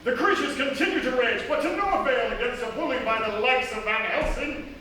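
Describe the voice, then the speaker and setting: A man's voice: very high-pitched, expressive, fairly quick, sharp tone, heavily acted. A man playing a wry, melodramatic narrator in a live radio comedy, breaking into offhand asides. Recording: echoey live theatre, distant mic, noisy.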